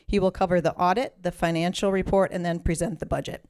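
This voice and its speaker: A woman, chairing a school board meeting and reading the formal procedural opening in a measured way. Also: on the low side, fairly even intonation, darkish tone.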